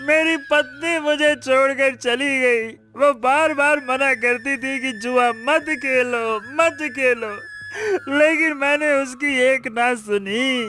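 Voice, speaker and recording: A man acting a ruined gambler husband in an audio story, despairing, self-pitying and pleading in drawn-out laments. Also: very high voice, sharp tone, a little hiss or hum, exaggerated voice. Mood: wailing despair.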